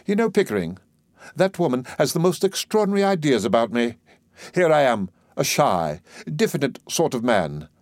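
A man voicing an imperious, excitable professor in a play reading, declamatory and overbearing. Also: mid-range voice, normal speed, no echo, clean studio sound, voice pushed up, close to an everyday voice. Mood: self-pitying, puzzled. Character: imperious, excitable male professor, scornful and bossy.

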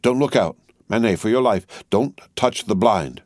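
A man voicing an anxious, devoted elderly gentleman in a storybook reading, earnest and imploring. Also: lowish voice, animated, normal speed, no room sound, studio quality. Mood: desperate.

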